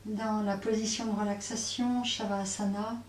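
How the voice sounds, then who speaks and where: A woman teaching yoga nidra, guiding relaxation in a hesitant way, often pausing and repeating phrases. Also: mid-range voice, little pitch movement. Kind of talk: guided relaxation instruction. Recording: roomy, distant mic, faint noise.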